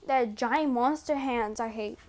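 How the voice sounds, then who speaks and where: A young woman giving casual, slangy, sweary and teasing commentary as a gaming vlogger, with unhurried delivery. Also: a little high.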